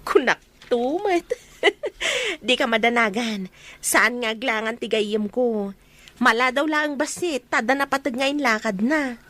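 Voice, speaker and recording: A woman's voice: a little high, expressive, unhurried, fairly bright tone. A woman playing a cheeky, teasing young best friend in an Ilocano radio drama. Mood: reassuring.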